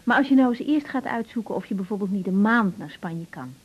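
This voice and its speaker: A woman's voice: mid-range voice, lively intonation, fairly quick, breathy edge, muffled recording. An actress playing a frank, sensible young woman doctor in a naturalistic radio drama, talking in a conversational, questioning way. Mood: frank, encouraging. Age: young adult.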